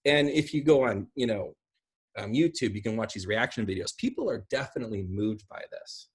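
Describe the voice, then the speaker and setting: A middle-aged man with an American accent and a slightly husky voice, talking casually and off the cuff in an animated, rambling way. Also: lowish voice, fairly quick. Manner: animated, gesturing, rambling with asides. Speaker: video host discussing video game films. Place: small room at home, indoors.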